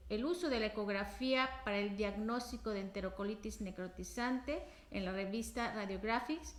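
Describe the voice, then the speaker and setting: A woman's voice: fairly high pitch, echoey room. A woman host introducing a guest speaker at an academic conference, reading formally with frequent fillers.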